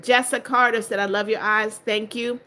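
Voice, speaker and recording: An older woman hosting a live stream, greeting viewers one by one as she reads their comments. Her voice is bright and clear, and she turns firm and strict when warning people who spam her chat. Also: fairly high pitch, level tone, a little fast. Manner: strict, firm about blocking spammers. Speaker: livestream host.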